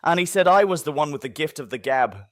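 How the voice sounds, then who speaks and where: A man's voice: a little high, animated, fast talker, no room sound, clean studio sound, natural voice. A narrator voicing a proud, silver-tongued Irish bushranger man in an audiobook story. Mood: earnest. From Ireland.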